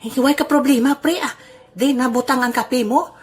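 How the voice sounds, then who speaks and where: A woman's voice: high voice, moderate pace, slightly rough. A woman voicing a cheerful, laughing old grandfather in an amateur audio drama. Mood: jolly. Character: jolly old man, Lolo Albeno, money-minded.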